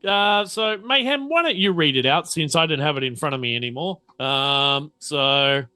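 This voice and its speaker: A man's voice: a little high, expressive, moderate pace, bright, forceful tone, clear, resonant tone, no echo, clean studio sound. A man narrating a tabletop roleplaying game as game master, hesitantly thinking aloud as he goes.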